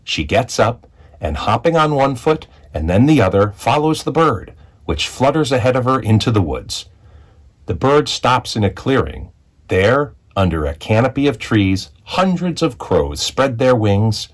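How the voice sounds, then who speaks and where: A man reading a children's picture book aloud as a storyteller, with expressive narration and deliberate dramatic phrasing. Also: medium pitch. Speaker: read-aloud storyteller, school executive.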